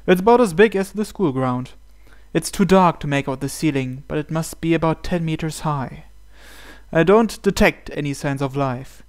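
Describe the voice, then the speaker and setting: A man's voice: on the high side, moderate pace, smooth. A man reading first-person visual novel narration aloud as he plays. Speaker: game player reading aloud. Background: soft game music.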